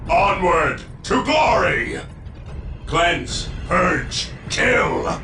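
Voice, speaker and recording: High, gravelly battle-crying soldier. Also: male voice, sing-song, very slow, full character voice. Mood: fervent. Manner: urgent barking. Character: fervent foot soldier barking battle cries. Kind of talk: video game unit voice acting. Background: loud music.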